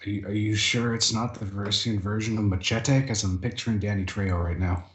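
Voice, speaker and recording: Deep-voiced joking man. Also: steady tone, fairly quick. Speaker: player. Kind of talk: casual joking banter. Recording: roomy, faint background noise.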